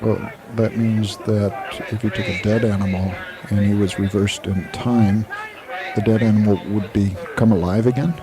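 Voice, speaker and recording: An actor playing an earnest, skeptical literature professor in a 1980s audio drama. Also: male voice, on the low side, fairly even intonation, normal speed, a crowd in the background, close to an everyday voice. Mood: curious, incredulous. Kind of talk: 1980s audio drama dialogue with inner monologue. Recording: noisy old tape with background hiss.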